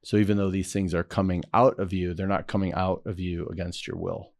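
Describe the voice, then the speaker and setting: Male interview host: very low voice, dry close recording, calm and measured, affirming the guest. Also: gravelly, dry recording. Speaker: podcast host. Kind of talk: interview conversation.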